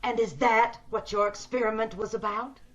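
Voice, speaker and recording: A woman's voice: on the high side, little pitch movement, moderate pace, natural voice. A woman playing a homely, probing woman who yearns for beauty, questioning insistently in old-time radio drama style, over dull video-call audio. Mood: probing, polite.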